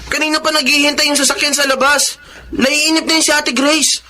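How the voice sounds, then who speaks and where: A cheeky, impatient young brother played by a man in a Filipino radio drama. His voice is strikingly high and bright. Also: level tone, fairly quick, slight background noise, full character voice.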